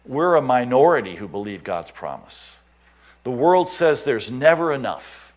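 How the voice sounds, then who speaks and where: A man, a missionary pastor telling stories in a sermon and acting out the voices of the people he quotes. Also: medium pitch. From United States. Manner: animated storyteller, acts out quoted voices, chuckles. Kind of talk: storytelling sermon.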